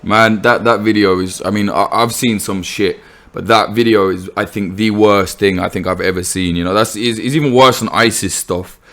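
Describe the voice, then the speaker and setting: A man's voice: lowish voice, steady tone, normal speed, a bit bright. A man hosting a podcast interview, talking casually and bluntly. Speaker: podcast host. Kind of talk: interview conversation.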